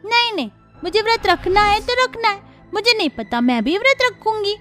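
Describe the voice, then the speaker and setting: A woman's voice: very high voice, expressive, moderate pace, fairly bright tone, soft background music. A woman voicing an innocent, stubborn little girl in a cartoon, childlike and pleading. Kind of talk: Hindi cartoon voice acting.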